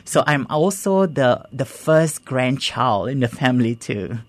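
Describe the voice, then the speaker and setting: A woman's voice: extremely low pitch, expressive, unhurried, no room sound. A woman from Singapore with a Singaporean accent, chatting casually and supportively as she shares personal experience. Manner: supportive, frequent short agreements. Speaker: conversation partner sharing own story.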